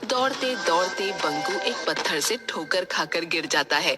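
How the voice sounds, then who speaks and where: A woman's voice: fairly low pitch, animated, a little slow, music in the background. A woman giving scripted storytelling narration for a cartoon fairy tale.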